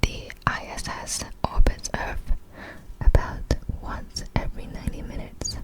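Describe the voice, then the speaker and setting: A woman speaks entirely in a soft, breathy whisper in the style of an ASMR video. She reads facts very slowly, in short halting phrases. Her manner is apologetic, and she tends to stumble over difficult words. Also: rough recording.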